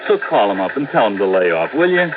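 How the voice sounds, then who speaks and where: A man's voice: fairly low pitch, sing-song, fast. An actor playing a brash, cocksure arson squad policeman, blunt and slangy, in an old radio drama. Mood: exasperated. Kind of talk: 1950s radio drama acting. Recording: noisy, narrow old radio recording.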